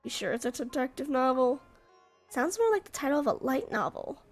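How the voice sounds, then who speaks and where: Cheeky little floating sidekick, kinda high voice, hoarse sick woman reading it. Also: sing-song, a little fast, faint music behind. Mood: teasing. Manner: reading lines aloud, quipping. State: stuffy and hoarse. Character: small chatty female floating companion creature.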